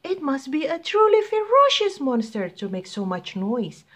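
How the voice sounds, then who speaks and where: A frantic storybook pilot, acted by a woman, pleads urgently in a high voice. The pitch swoops about with alarm. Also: very animated, normal speed, slight background noise. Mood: urgent pleading. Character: frantic frightened airplane pilot pleading for help.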